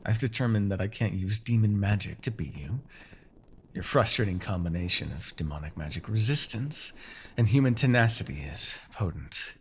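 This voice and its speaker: A man's voice: lowish voice, animated, normal speed, a little hiss or hum. A man playing a proud, bitter, centuries-old demon king in a fantasy audio roleplay, menacing, theatrical and sardonic. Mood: pained, gloating.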